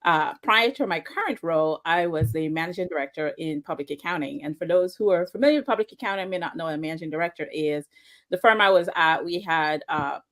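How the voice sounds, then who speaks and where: A woman's voice: low-pitched, fast talker. A woman in her forties from Guyana, a podcast guest who talks easily and laughs often. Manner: warm, laughs easily, conversational. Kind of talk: interview conversation.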